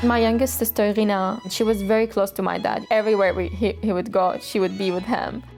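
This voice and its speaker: A young woman speaks thoughtfully and a little haltingly, often pausing on small fillers as she tells her story. Her voice sits at an ordinary middle pitch, with music playing clearly beneath her. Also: fairly even intonation, a little mellow. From Syria. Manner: reflective and hesitant, frequent "you know" fillers. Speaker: interviewee. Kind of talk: documentary interview and personal testimony. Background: background music.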